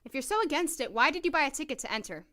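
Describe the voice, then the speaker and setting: A woman's voice: high-pitched, big pitch swings, very fast, sharp tone, exaggerated voice. A woman playing a cool, sceptical, self-assured young doctor in an audio drama, dryly polite and clipped. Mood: firm, defiant.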